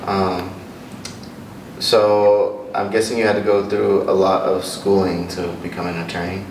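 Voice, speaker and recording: A man's voice: sits low, level tone, a little slow. A man interviewing a guest, asking questions in a hesitant way with many fillers. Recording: distant, roomy, noisy.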